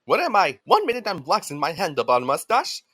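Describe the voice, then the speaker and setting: A woman's voice: on the low side, huge pitch swings, brisk, fairly bright tone, husky, dry recording, studio quality, heavily acted. A woman voicing a dazed, frightened male chef held captive in a cartoon fandub.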